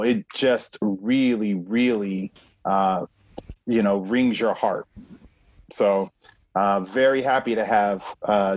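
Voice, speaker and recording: A man's voice: lowish voice, dry recording. A man co-hosting a local film talk show, speaking earnestly and filling gaps with "you know". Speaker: co-host.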